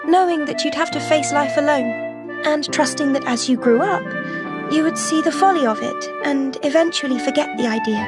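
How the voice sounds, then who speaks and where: Actress as kindly young sleuth for a mystery game: high voice, soft questioning manner, music underneath. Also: female voice, moderate pace, natural voice. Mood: kindly, assured. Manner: gentle, questioning. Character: bright, kindly young lady detective, gently persuasive. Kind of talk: animated mystery game voice acting. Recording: clear music behind the voice.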